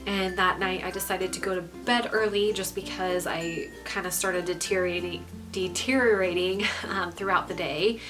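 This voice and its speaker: A young woman with a stuffy, congested voice giving a candid pregnancy update, pausing often with ums. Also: fairly low pitch. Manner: frequent ums, relaxed and candid. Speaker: pregnancy vlogger. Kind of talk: casual vlog update.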